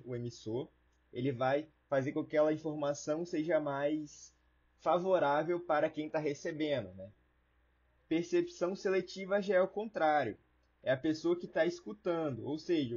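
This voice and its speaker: A man's voice: fairly high pitch, darkish tone, husky, lo-fi sound. A Brazilian man teaching an online lesson in a casual, colloquial way, explaining things with simple everyday wording. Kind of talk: informal lecture with everyday examples.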